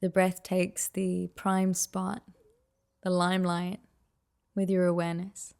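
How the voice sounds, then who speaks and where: A woman leading a guided meditation with soft, soothing, unhurried and gently encouraging instruction. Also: lowish voice, completely flat delivery, vocal fry.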